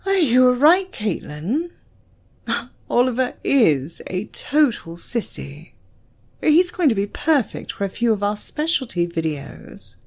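Woman as slow, commanding British female lecturer. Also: fairly high pitch, big pitch swings, pitched above the performer's own voice, exaggerated voice. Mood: teasing. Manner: unhurried, matter-of-fact, teasing. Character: bold, amused, commanding female university lecturer.

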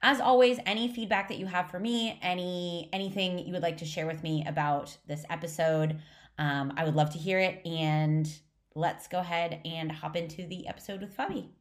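A woman's voice: lowish voice, steady tone, normal speed. A woman in her thirties, a podcast host and nutrition coach, chatting casually and upbeat with her best friend. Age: 30s.

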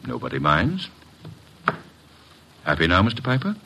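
Suave male club boss, radio drama: low, animated, precise, sardonically polite, noisy vintage sound. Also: normal speed, heavily acted. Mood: suave, controlled. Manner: formal, precise, smoothly sardonic. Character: suave, formal male club co-owner hosting a card game. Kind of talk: 1950s radio detective drama acting. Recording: noisy old radio recording.

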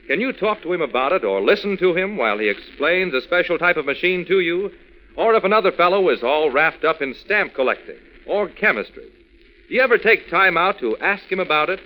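Casting: male old-time radio pitchman for kids, bright, highish, chummy and instructive. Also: poor audio. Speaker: radio announcer. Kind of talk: 1940s radio scripted address to children.

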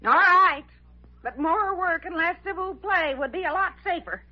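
An actress playing a fussy, bossy hospital nurse, fretful and scolding, in old-time radio drama style. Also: female voice, extremely high pitch, moderate pace, over music. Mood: disapproving. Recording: dull vintage radio recording.

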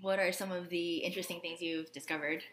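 Low-voiced woman hosting a podcast. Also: fairly even intonation, brisk, fairly bright tone, reverberant, slight background noise. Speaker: podcast host, interviewer. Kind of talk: casual interview questions.

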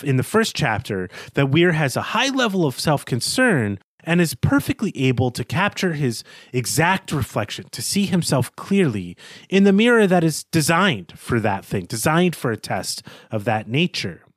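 A male podcast co-host with a mid-pitched voice that moves around in lively swings. He explains his reading of a book in a thoughtful, conversational manner, recorded dry with no room sound. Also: no room sound. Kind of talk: literary discussion, conversational analysis.

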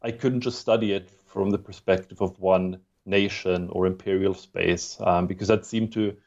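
A man's voice: low voice, steady tone, normal speed, a little mellow, highs cut off. A man from Sweden, raised in Germany, speaking English as a second language with a mixed European accent; a historian talking as a podcast guest, hesitant, with many ums and restarts. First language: not English. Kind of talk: podcast interview.

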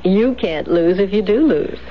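An actress playing a cool, scheming, single-minded wealthy wife in a 1970s radio drama, poised and needling. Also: female voice, medium pitch, animated, a little slow, natural voice. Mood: amused, needling. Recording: muffled, noisy 1970s radio broadcast.

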